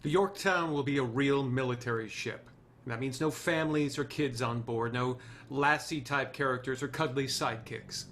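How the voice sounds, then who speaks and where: A man's voice: mid-range voice, moderate pace, a bit bright, a little hiss or hum, lightly acted. A man playing an idealistic, charming, swaggering TV writer in a screenplay table read. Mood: confident pitching.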